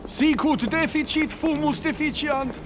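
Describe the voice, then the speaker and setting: An actor playing a fervent priest in a radio drama, intoning prayers in a solemn, incantatory way. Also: male voice, very high voice, a little slow. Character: fervent priest chanting Latin exorcism prayers.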